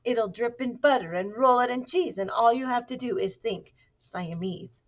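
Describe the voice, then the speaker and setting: Woman as a firm scolding mama cat, raised pitch. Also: normal speed, lo-fi sound, close to an everyday voice. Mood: coaxing, firm. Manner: emphatic, sing-song scolding. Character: scolding mother cat, firm but affectionate.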